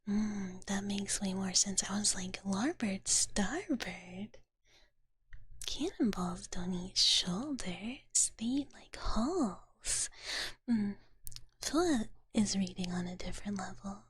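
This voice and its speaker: A woman streaming ASMR in a soft, breathy whisper, chatting casually and playfully with viewers up close to the microphone. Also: mid-range voice, slow pace, no echo. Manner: playful, speaks of self in third person. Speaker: ASMR livestreamer.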